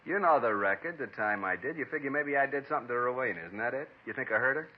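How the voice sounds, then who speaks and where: An actor as a sullen streetwise ex-con. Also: male voice, medium pitch, quick pace, breathy edge, close to an everyday voice. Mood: pleading. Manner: defensive, self-pitying, streetwise slang. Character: defensive ex-con man, middle-aged, sullen and evasive. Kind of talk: 1950s radio drama acting. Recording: dull old radio broadcast.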